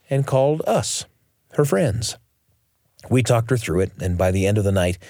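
Expressive male storyteller with animated pitch. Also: no room sound, studio quality. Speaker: radio show host and storyteller. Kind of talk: scripted personal storytelling narration.

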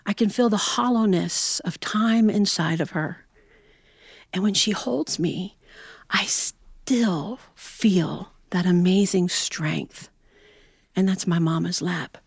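A middle-aged woman telling a personal story in an expressive, performed way with dramatic pauses. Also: fairly low pitch, vocal fry, slightly rough, dry recording, studio quality. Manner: expressive, acts out family dialogue, dramatic pauses.

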